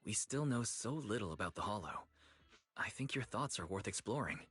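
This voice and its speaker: Chill supportive friend in a video game, deep husky voice, soft curious questions. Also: male voice, animated, normal speed. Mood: thoughtful, supportive. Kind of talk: video game story dialogue acting.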